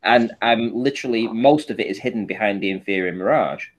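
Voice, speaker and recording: A man's voice: lowish voice, fairly quick, muffled recording, slight background noise. A man speaking informally as a panel guest, talking listeners through images step by step and checking they follow. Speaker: panel guest presenting a photo analysis. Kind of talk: informal explanatory talk over shared images.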